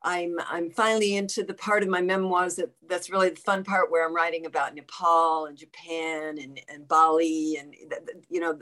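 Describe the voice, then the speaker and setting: A woman in her sixties from coastal Los Angeles talks casually in a lowish voice on a group video call. She rambles, repeats words and starts her sentences over. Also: flat delivery, normal speed, hardly any pauses, a bit bright. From South Bay of Los Angeles. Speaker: bluegrass musician and guest.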